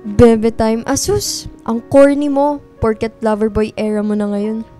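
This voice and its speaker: A woman's voice: fairly high pitch, little pitch movement, measured pace, dark tone, faint music behind, lightly acted. A woman playing a sulky, teasing college-age best friend in a radio drama, with blunt slangy banter and a guarded streak. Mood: teasing, irritated.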